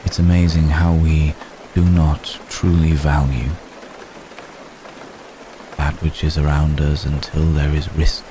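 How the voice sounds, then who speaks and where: Man acting as ancient gentleman vampire, extremely low voice, subdued even intonation, formal, halting, tender, intimate ASMR roleplay, rain noise behind. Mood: tender, confessional. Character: centuries-old gentleman vampire, tender, protective, world-weary. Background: rain falling.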